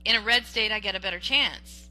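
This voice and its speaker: A woman with a very bright, ringing voice argues her point on a podcast. She talks emphatically and animatedly, often restarting mid-sentence and checking in with "okay?". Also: medium pitch, brisk, rough recording. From Western Washington. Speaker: podcast co-host. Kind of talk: casual political debate.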